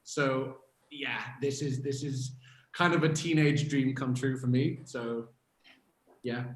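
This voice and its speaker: A man's voice: mid-range voice, fairly even intonation, slow pace, roomy sound. A man from Iceland, an actor, chatting enthusiastically on a video call, laughing and repeating words. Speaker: actor guest. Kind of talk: casual chat on a video call.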